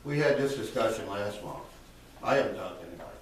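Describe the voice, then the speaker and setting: A man chairing a town board meeting, answering questions off the cuff in a halting way, with hesitant fillers. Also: on the low side, lively intonation, a little hiss or hum. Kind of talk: off-the-cuff reply at a public meeting. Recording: very echoey room, far from the mic.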